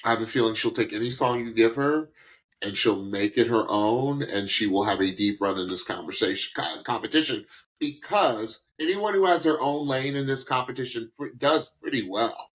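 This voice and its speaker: A man's voice: mid-range voice, moderate pace, a bit bright. A middle-aged man giving casual commentary as a podcast co-host, emphatic and repeating words for stress. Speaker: podcast co-host reviewing a singing show.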